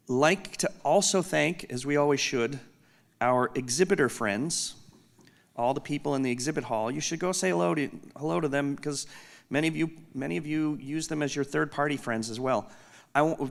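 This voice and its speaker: A man with a loud voice giving an unscripted conference keynote from a stage, candid and self-deprecating with joking asides. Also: on the high side, creaky. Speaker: company executive giving a keynote.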